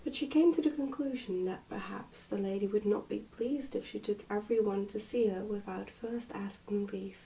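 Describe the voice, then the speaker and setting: A soft-spoken woman, often close to a whisper, reading a book aloud in an ASMR style. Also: medium pitch, normal speed, creaky, hardly any pauses, distant mic, low-quality recording. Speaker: ASMR reader and host.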